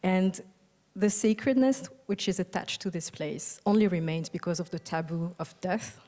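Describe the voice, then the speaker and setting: A young woman from Algeria delivers a keynote in a soft, thoughtful way, filling her pauses with ums and uhs. Her voice sits a little low and sounds mellow and warm. A long reverb from a large hall hangs behind her words. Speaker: architect giving a keynote. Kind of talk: conference keynote talk.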